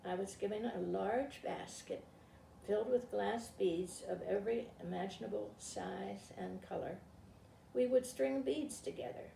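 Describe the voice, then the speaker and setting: An elderly woman with a creaky voice, speaking in a halting, informal way as she presents to a group. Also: medium pitch, mellow. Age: 70s or older. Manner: halting, rambling, self-interrupting. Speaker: retired teacher and read-aloud presenter. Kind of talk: informal talk and reading aloud to a group. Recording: distant mic, echoey room, faint noise.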